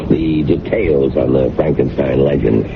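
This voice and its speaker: An actor playing an affable, businesslike American radio producer in a 1970s radio drama, matter-of-fact and easygoing. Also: male voice, a bass voice, measured pace, vocal fry, heavily acted. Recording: very noisy, tinny old radio recording.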